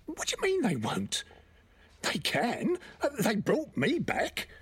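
Man playing distraught old male convict, audio drama, husky, swooping pitch, halting, stubborn, pleading. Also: huge pitch swings, a bit bright, exaggerated voice. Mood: desperate. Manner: halting, disbelieving, pleading, colloquial. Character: distraught, stubborn revived elderly convicted thief, Mr Johnson.

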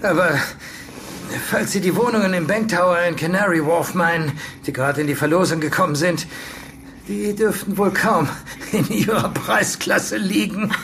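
An actor playing a middle-aged, evasive and condescending property manager mixed up in crime, in an audio drama. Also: male voice, sits high, steady tone, normal speed, a bit bright, a little hiss or hum. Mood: smug, evasive. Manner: evasive, condescending, swearing when rattled. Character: cornered, sneering older property manager in crime business.

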